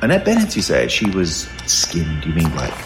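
A fast-talking man plays a pushy reporter in an old-time radio drama. His pitch swings wildly as he wheedles and protests. Music sits clearly behind him. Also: huge pitch swings. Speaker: reporter character in a radio play.

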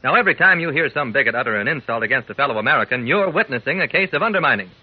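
A man's voice: mid-range voice, lively intonation, rapid-fire, slightly rough. A man giving an earnest, folksy, emphatic public-service talk to young listeners in 1940s radio style. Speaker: radio announcer. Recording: muffled old radio recording.

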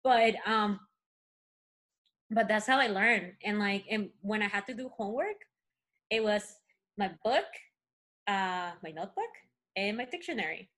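A woman's voice: medium pitch, measured pace, pauses often. Woman with a Spanish accent telling stories. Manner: animated storytelling, many fillers. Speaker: guest. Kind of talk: casual conversation.

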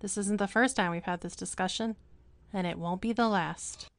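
A woman's voice: no echo, natural voice. A woman voicing a bubbly, self-aware female announcer in a book advert. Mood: chirpy. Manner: brisk and bubbly pitch.

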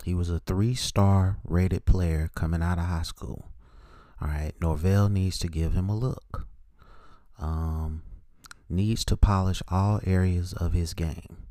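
Male sports host, low relaxed voice, dry close sound, blunt player evaluations in short phrases. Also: takes it slowly, dry recording. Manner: plainspoken, opinionated, clipped note-like phrases. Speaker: host of a sports opinion show. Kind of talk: solo football scouting commentary, part read from notes.

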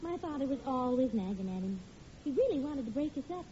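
An actress playing a patient but exasperated housewife in an old radio sitcom. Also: female voice, high voice, very animated, brisk, mellow. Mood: reminiscing. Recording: muffled, hissy old radio recording.

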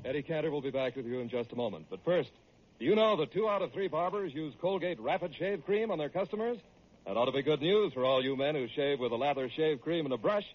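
Man, radio announcer, lively intonation, polished pitchman, muffled vintage recording. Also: fast talker, a little hiss or hum. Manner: polished salesman delivery. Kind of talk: 1940s radio announcing and sponsor reads.

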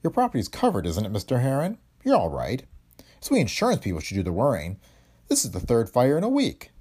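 A man's voice: medium pitch, huge pitch swings, a little fast, a little mellow, husky, voice pushed up. A man voicing a commanding middle-aged male insurance company president. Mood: firm.

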